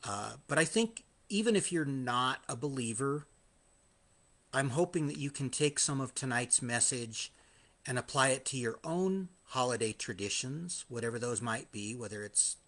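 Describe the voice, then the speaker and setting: A man hosting his own live podcast, a little hoarse after hours of singing, talking in a chatty, self-deprecating way with frequent restarts. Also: mid-range voice, expressive, moderate pace, a bit bright. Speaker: podcast host. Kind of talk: live-streamed casual monologue.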